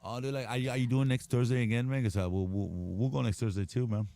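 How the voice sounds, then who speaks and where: A man's voice: mid-range voice, fairly even intonation, fast, creaky, warm tone, dry recording. A man in casual chat after a karaoke night, talking in a slangy, stammering way with lots of swearing.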